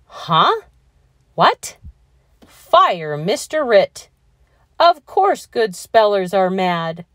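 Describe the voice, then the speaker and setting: Woman as a scornful newspaper editor, swooping pitch. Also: sing-song, very slow, fairly bright tone, dry recording, clean studio sound, exaggerated voice.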